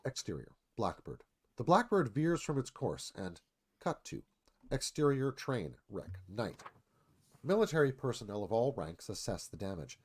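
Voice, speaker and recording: Guy reading screenplay scene directions, medium pitch, really lively up and down. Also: moderate pace, slightly rough. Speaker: narrator reading stage directions. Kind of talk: scripted screenplay narration.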